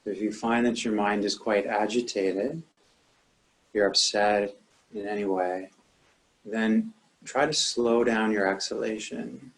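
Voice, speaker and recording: Male meditation teacher, lower-pitched voice, calm and measured, leaving long pauses between instructions. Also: gravelly, low-quality recording.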